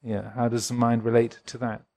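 A man in his sixties from England, a Buddhist monk giving a gentle, reflective dharma talk and answering questions at a retreat. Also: mid-range voice, little pitch movement, moderate pace, gravelly. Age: 60s. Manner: gentle, reflective, chuckles often.

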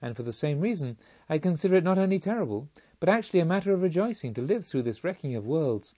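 A man voicing a serene, wise woman disciple of the Buddha in a calm, solemn, teaching manner for an audiobook. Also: on the high side, fast, breathy edge, highs cut off. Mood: serene, assured.

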